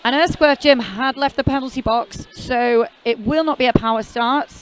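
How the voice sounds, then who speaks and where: A woman's voice: sits high, normal speed, a little hiss or hum, rough recording. Chatty woman doing roller derby commentary. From half Welsh, never lived in Wales. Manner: chatty, jokey, rambling asides. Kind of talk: casual live sports commentary.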